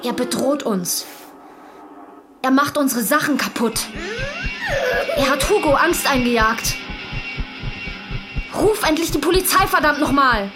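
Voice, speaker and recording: An icy, hostile mother in a radio drama, her voice on the high side. She is curt and cutting, throwing accusations and refusing to forgive, with music underneath. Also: expressive, measured pace, long pauses. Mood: alarmed, shrill. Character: cold, hostile, unforgiving young mother in a marital feud. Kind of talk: German radio drama acting.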